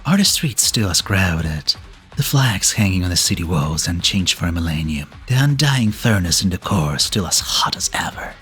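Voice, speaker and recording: Guy voicing ancient tired old immortal man, really low voice, wry n cryptic, breathy, swings up and down, music behind. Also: sing-song, moderate pace, fairly bright tone. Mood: wistful, weary. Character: ancient weary long-lived male being, cryptic and wry. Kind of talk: fan-dub game drama acting. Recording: background music under the voice.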